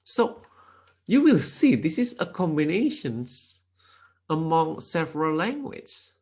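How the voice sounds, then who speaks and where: Indonesian guy teaching code, slow and careful, pitch jumps all over, little grammar slips. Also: breathy edge. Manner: lively intonation with sudden pitch rises. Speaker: lecturer. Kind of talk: programming tutorial.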